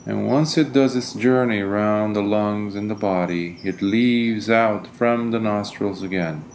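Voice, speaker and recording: A man leading a guided meditation as a teacher, speaking in a gentle, deliberate, soothing manner. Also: on the low side, fairly even intonation, a little hiss or hum.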